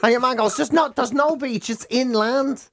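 A man's voice: very high voice, normal speed, gravelly, no echo, clean studio sound. An Irish man co-hosting a radio show, bantering casually and acting out the exchanges in his comic anecdotes. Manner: animated, often acts out quoted exchanges.

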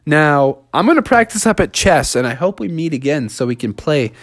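A friendly, laid-back lake monster with a lively, bouncy voice, as a man puts him on. He talks casually and lonely-hearted, and it is recorded close and dry. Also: mid-range voice, moderate pace, no room sound.

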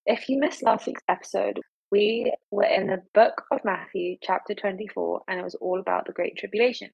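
A dry, slightly lo-fi recording of a woman opening a religious teaching programme from a script. Her voice is husky and sits at a middle pitch. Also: fairly even intonation, a little fast, darkish tone, no room sound. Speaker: host of a religious teaching series. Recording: dry, slightly dull sound.

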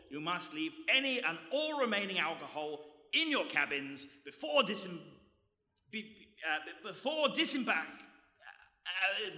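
Man acting a bright, bumbling ship's captain. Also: high voice, exaggerated voice. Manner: stammering, hesitant, formal announcing. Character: bumbling, nervously jovial male ship's captain. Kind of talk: live comedy radio play acting. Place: stage in a hall before a live audience. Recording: echoey hall, live stage recording.

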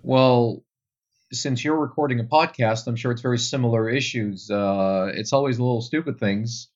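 A man's voice: medium pitch, moderate pace, a little mellow, dull audio. A young Flemish man, a Dutch speaker, answering interview questions about tour guiding thoughtfully, with many fillers. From Belgium. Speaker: tour guide guest.

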